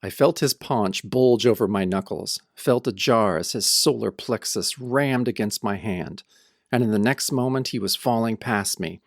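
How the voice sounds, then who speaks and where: A man playing a brooding, tough hero who narrates an audio drama in the first person with grim, deliberate delivery. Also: on the low side, no room sound, studio quality. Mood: grim, tense. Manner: grim, deliberate hardboiled storytelling. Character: brooding tough male hero recounting his nightmare. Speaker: narrator and protagonist.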